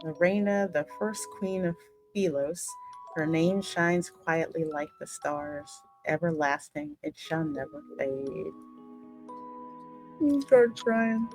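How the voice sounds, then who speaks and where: A woman's voice: deep voice, slow pace, low-quality recording. A woman hosting a game stream, reading the story aloud expressively and reacting casually. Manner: expressive, dramatic read-aloud with casual exclamations. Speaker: gaming channel host. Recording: music behind the voice. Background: game music.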